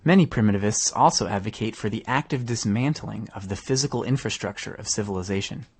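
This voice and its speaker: A man's voice: on the low side, quick pace, background noise. A man reading scripted documentary narration for a podcast.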